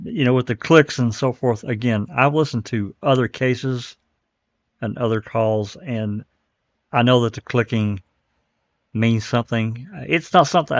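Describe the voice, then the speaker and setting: Man, podcast host, casual panel chat, agreeable, mid-pitched, muffled dry call audio. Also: no room sound. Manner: agreeable, thanks each guest.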